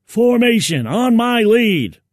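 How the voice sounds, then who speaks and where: A man's voice: very high voice, sing-song, slow pace, dry recording, clean studio sound, voice pushed up, heavily acted. A man voicing a brash military recon soldier in an audiobook, clipped and commanding. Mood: urgent, barking. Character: brash military recon men barking over radio and in field.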